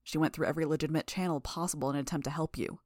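A woman's voice: deep voice, fairly even intonation, very fast, darkish tone, no room sound, clean studio sound, close to an everyday voice. A woman doing a clipped, serious armored man. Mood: matter-of-fact. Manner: clipped, matter-of-fact.

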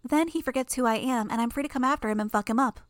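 A woman's voice: on the high side, fast, no room sound, clean studio sound, voice pushed up, lightly acted. A woman voicing a cocky, gleeful teenage girl villain who talks in a brash, crude way. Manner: brash, crude, pleased with herself.

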